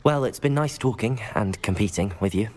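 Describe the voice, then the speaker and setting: A man plays a modest teenage wizard in a fantasy game. His voice is on the low side, polite and understated. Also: lively intonation, a little fast, a little mellow, close to an everyday voice. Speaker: player character, new student. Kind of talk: video game voice acting.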